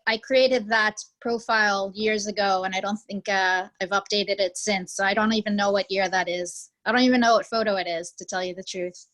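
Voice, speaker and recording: A woman's voice: medium pitch, fairly bright tone, poor audio. An American woman chatting on a video call, talking hesitantly with many ums and restarts. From United States. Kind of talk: casual conversational reminiscing.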